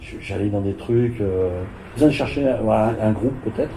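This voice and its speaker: A man's voice: on the low side, normal speed, mellow, a little hiss or hum. An older man from French Polynesia and Vietnam, casually looking back on his life in conversation. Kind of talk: casual reminiscing chat. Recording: roomy echo with music behind.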